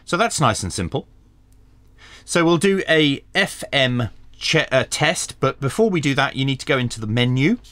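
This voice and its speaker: A man presents a hands-on gadget review with a lively, varied pitch that sits at a medium height. He explains each step in a practical way and slips in dry asides. The sound is close and dry, with very little room in it. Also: moderate pace, no echo. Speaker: radio review channel host. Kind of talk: hands-on product review and tutorial narration. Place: small indoor home workshop.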